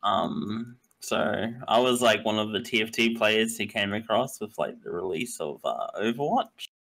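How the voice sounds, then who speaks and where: A man's voice: lowish voice, a little slow, creaky, fairly bright tone, rough recording. A man in his twenties, an esports coach, answering interview questions casually with many fillers and hesitations. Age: 20s.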